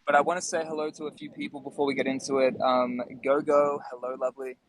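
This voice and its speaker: A man's voice: medium pitch, flat delivery, fast, slight background noise, low-quality recording. A man hosting a casual live discussion, talking in a friendly, appreciative way. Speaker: host.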